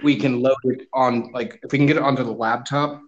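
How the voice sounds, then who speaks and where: A man's voice: mid-range voice, fairly even intonation, a little fast, dull audio. A man co-hosting a podcast, talking bluntly and teasing with lots of swearing. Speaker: podcast co-host. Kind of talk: casual banter.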